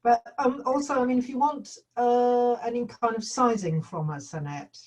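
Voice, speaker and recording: A woman's voice: fairly high pitch, normal speed, darkish tone. A woman chatting casually, as an actor among colleagues. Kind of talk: casual chat. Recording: distant, noisy pickup.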